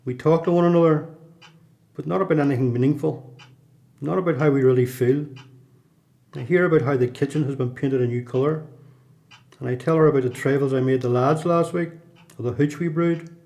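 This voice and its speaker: A man with a Belfast accent playing a guarded, streetwise repeat prisoner in a radio play, speaking in a confiding, measured, plain-spoken way. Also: a little high, fairly even intonation, vocal fry, darkish tone. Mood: reflective. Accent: Belfast Northern Irish.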